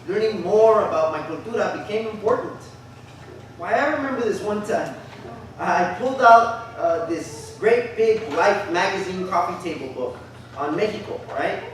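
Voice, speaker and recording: A man reading the part of a reflective Chicano looking back on his school days in a measured theatrical monologue. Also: high voice, expressive, slight background noise. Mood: amused, reminiscing. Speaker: stage reader in a documentary play. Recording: very echoey room, far from mic.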